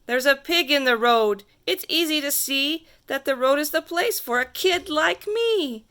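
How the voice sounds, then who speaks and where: A woman reading a rhyming picture book aloud to children in a theatrical, sing-song way with drawn-out emphasis. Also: high-pitched, lively intonation, sharp tone. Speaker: storybook reader.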